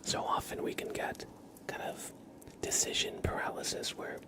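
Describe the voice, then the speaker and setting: An American man hosting an ASMR livestream, speaking in a soft, breathy whisper with an unhurried, gently reassuring manner. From East Coast of the United States, near New York. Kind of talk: whispered ASMR, casual livestream chat and card reading.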